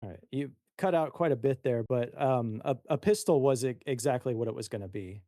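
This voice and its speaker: A man chatting casually as a player creating a character, tentative and halting in how he talks. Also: medium pitch, dark tone, dry recording, clean studio sound. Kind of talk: casual chat.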